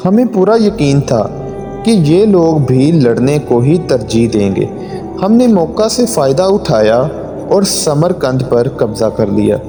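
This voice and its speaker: A man's voice: on the high side, big pitch swings. A man voicing a conquering governor in a moral story, formally defending himself before a court. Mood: defensive. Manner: formal, self-justifying. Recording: long hall reverb, music underneath.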